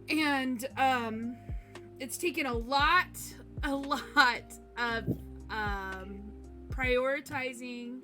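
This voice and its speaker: A woman hosting a live stream, chatting casually in a relaxed, rambling way. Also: a little high. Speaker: streamer host.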